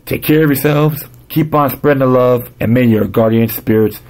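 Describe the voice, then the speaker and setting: A man with a dry voice hosting a podcast, reading and commenting informally in a halting way, often stumbling and repeating himself. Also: mid-range voice, darkish tone. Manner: halting reader, stumbling over words and repeating phrases. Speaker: podcast host. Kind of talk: reading news articles aloud with casual commentary.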